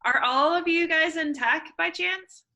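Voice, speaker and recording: A woman hosting an online workshop over a video call, chatty and relaxed with casual fillers. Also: very high-pitched, unhurried. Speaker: workshop host.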